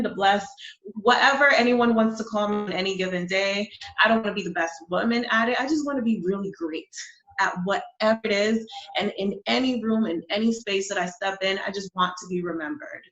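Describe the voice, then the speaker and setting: A woman's voice: mid-range voice, a bit bright. Confident woman guest in a roomy space. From Honduras. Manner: confident, emphatic, reflective. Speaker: marketing strategist, guest. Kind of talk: interview conversation. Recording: dull, roomy sound.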